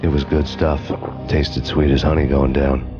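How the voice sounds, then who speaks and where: An actor playing a world-weary, sardonic avenger, narrating a noir game story in a deadpan, hardboiled manner. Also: male voice, rumbling low, normal speed, muffled recording. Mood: wry. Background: music.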